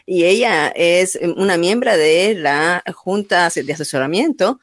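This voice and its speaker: A woman's voice: fairly low pitch, measured pace, no echo, studio quality. A woman co-hosting a morning talk show in casual conversation, occasionally slipping English words into her Spanish. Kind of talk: casual radio chat.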